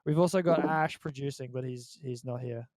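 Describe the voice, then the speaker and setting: A man's voice: mid-range voice, moderate pace, a little mellow, dry recording, studio quality. A young man with an Australian accent hosting a casual group podcast chat. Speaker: podcast host.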